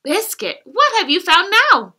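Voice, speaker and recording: A woman reading the lines of a cheerful, caring little girl in a gentle, sing-song storybook way. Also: very high voice, sing-song, unhurried, voice pushed up, full character voice.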